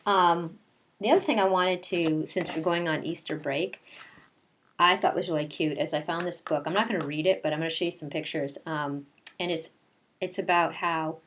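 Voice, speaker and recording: A woman with a fairly low voice speaks as a kindergarten teacher at story time. Her delivery is conversational and aimed straight at young children, in the style of a picture-book read-aloud mixed with casual chat. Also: fairly bright tone. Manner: conversational, addresses children directly with questions.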